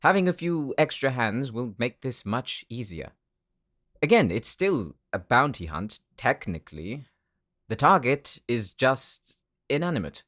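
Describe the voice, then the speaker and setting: A man's voice: medium pitch, big pitch swings, a little slow, a bit bright. A man playing a self-assured, businesslike weapons dealer in an anime audio drama, cool, formal and articulate. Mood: determined, confident.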